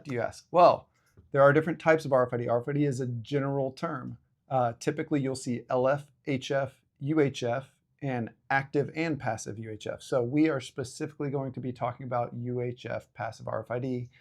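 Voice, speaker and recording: A man in his forties presents an educational video series in a casual, conversational way. His voice sits at a medium pitch, and he explains things informally, with a self-deprecating touch. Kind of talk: casual explainer talk to camera.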